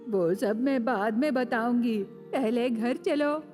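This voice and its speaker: Cartoon grandmother played by a woman: elderly, dreamy villager, unhurried speech, heavy reverb over soft music. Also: a little high. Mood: frightened, urgent.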